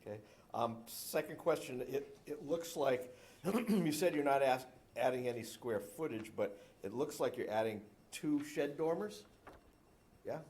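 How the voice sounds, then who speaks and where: A man's voice: medium pitch, expressive, unhurried, a little mellow. A man on a town planning board, politely asking an applicant questions. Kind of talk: questioning at a public meeting.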